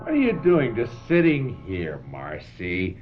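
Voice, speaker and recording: An actor playing a crass, drunken movie director husband in a radio drama, brusque, sneering and slangy. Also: male voice, fairly low pitch, wildly swooping pitch, measured pace, over loud music. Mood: breezy, pushy. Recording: dull lo-fi radio drama recording.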